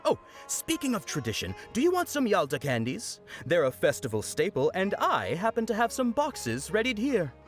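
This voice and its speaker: Animated, high-voiced jolly festival knight. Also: male voice, normal speed, hardly any pauses, soft background music. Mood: friendly. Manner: pompous proclamations turning to friendly patter. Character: jolly festival knight performer, theatrically commanding children. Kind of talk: video game voice acting.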